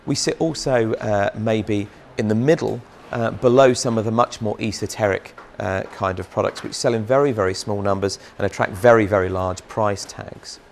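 A man in his thirties, a brand manager interviewed on a large factory floor with a lapel mic, explaining in a measured way. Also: on the low side, vocal fry, slight background noise.

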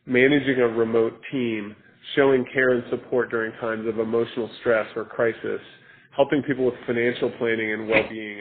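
Man on a phone-like conference line. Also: mid-range voice. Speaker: company chief executive answering investor questions. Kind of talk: conference call Q&A. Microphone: telephone conference call.

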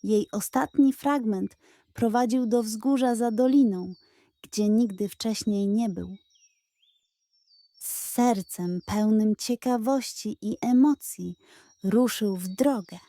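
Slow, mellow woman reading a bedtime story. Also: fairly high pitch, dry recording. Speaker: storyteller of children's bedtime tales. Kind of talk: scripted storybook narration.

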